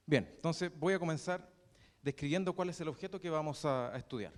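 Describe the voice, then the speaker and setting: A young man with a Chilean accent giving a university math lecture, halting often with fillers and restarts. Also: on the high side. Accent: Chilean Spanish. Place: lecture hall. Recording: reverberant lecture hall.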